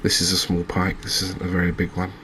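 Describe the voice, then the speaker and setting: A soft-spoken man narrates a game in a relaxed ASMR style. His voice is deep and stays very even, with a hesitant, understated, musing delivery. A faint ambient noise sits behind him. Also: fast. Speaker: gaming video creator.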